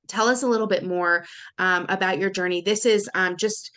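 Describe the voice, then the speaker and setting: A woman hosting a podcast interview, asking slow, deliberate questions with a few fillers. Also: medium pitch, a bit bright. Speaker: podcast host.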